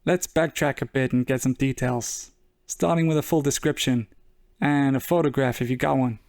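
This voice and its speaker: An actor playing a world-weary, wry 1940s private investigator in a hardboiled noir audio drama, deadpan and measured. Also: male voice, mid-range voice, dry recording, clean studio sound, close to an everyday voice. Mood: businesslike. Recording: saxophone music under the voice.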